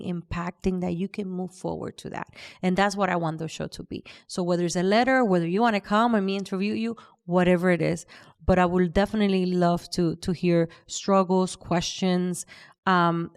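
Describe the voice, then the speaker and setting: A woman hosting a podcast, speaking earnestly about faith and sharing stories, with frequent restarts. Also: on the low side. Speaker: podcast host. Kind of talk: casual podcast chat.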